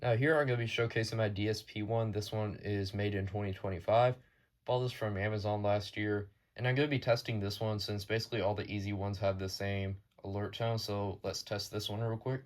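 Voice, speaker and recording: A man's voice: lowish voice, steady tone, fairly quick. A man presenting his hobby collection in a casual, unscripted show-and-tell, with a matter-of-fact, informal delivery.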